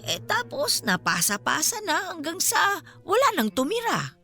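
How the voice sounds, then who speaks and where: Lively, folksy elderly female caretaker. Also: very high-pitched, normal speed, faint music behind, full character voice. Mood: chatty, obliging. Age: older. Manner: chatty, exclaiming, bashful. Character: elderly woman caretaker of an old house, modest, folksy. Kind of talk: Filipino radio drama acting.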